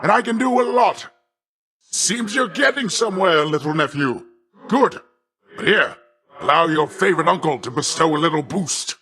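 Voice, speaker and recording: An actor playing a boastful, middle-aged sea god in a video game, a hearty and doting uncle with a grandiose, jovial, theatrical delivery. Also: male voice, fairly high pitch, animated, normal speed, sharp tone. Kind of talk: video game character voice lines.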